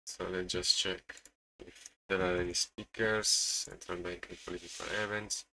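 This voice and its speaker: A man from Italy with an Italian accent, giving casual live market commentary as a streamer, halting and often repeating himself as he searches for words. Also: low-pitched, little pitch movement, gravelly, pushed and bright, rough recording. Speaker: day trading live streamer.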